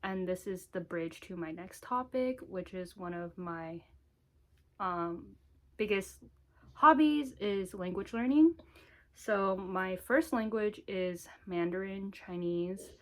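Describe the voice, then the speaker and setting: A woman's voice: medium pitch, slow. A woman in her twenties with an American accent, chatting casually and informally to camera in a small home room, with a giggly manner. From United States. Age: 20s. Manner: informal, giggly, frequent "like" asides. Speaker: vlogger.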